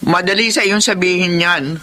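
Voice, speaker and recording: A woman acting a worried, soft-hearted mother of grown children, with a Filipino accent, in an audio melodrama. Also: lowish voice, moderate pace. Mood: hurt, insistent.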